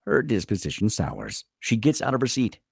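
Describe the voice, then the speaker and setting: A man's voice: lowish voice, huge pitch swings, normal speed, creaky, breathy edge, no echo, clean studio sound. A man narrating screenplay stage directions dramatically at a table read.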